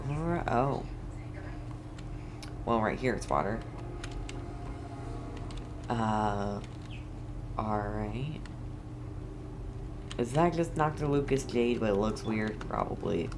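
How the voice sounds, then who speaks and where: Slow-paced excitable male gamer, noisy recording. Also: lowish voice. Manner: excitable, reactive. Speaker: game streamer. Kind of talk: casual gameplay commentary.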